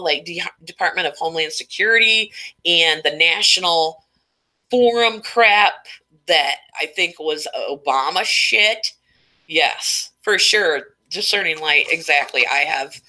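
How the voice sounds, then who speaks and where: A woman's voice: fairly low pitch, bright, forceful tone, poor audio. A woman hosting a casual live stream, chatty and rambling, stuffed up and losing her voice. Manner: rambling, chatty, reading chat names aloud.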